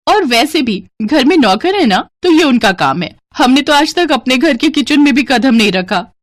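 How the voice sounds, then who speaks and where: A woman's voice: high voice, animated, normal speed, no room sound, studio quality, pitched above the performer's own voice. A woman voicing a spoiled, insolent young daughter-in-law in a cartoon story, with a whiny way of talking. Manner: whiny, insolent, mixes in English slang. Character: brash spoiled young modern daughters-in-law, bratty and defiant. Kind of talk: acted cartoon story dialogue.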